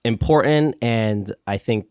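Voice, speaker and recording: A man's voice: medium pitch, slow pace, darkish tone, clean tone, no echo, clean studio sound. A man hosting a podcast in a casual, conversational way, somewhat hesitant and repeating words as he goes. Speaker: podcast host.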